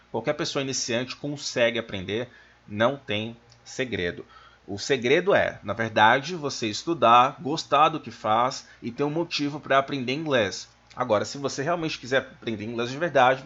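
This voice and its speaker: A man with a Brazilian accent giving an informal language lesson as a teacher, explaining things and slowing down to enunciate his examples. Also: mid-range voice, a bit bright, slightly rough. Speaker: English teacher. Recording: dull, lo-fi microphone sound.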